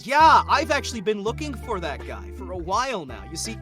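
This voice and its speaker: Rambling mock-earnest guest, lively pitch. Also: brisk. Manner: rambling, mock-earnest, lots of stammering restarts. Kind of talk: comedic in-character chat as an alien conqueror. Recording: background music under voice.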